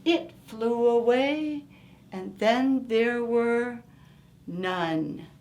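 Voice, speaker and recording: A woman reading aloud for young children in an expressive, deliberate storytime manner, engaging her listeners directly. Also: fairly high pitch. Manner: expressive, asks listeners simple questions. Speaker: children's story-time reader.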